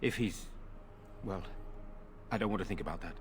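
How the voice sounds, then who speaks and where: An actor playing a worried, earnest noble younger brother in a video game. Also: male voice, sits low, moderate pace, a little hiss or hum, close to an everyday voice. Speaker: game character.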